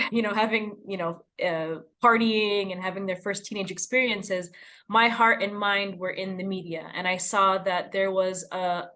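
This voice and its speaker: A woman's voice: medium pitch, steady tone, measured pace, a bit bright. A woman in her thirties from the United States, giving long earnest interview answers with some fillers. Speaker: guest, news outlet founder.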